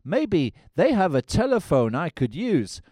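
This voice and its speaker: A man's voice: on the high side, very animated, a little slow, mellow, dry recording, studio quality. A man voicing an earnest, square young fiancé who hums and frets. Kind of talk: parody of a musical film.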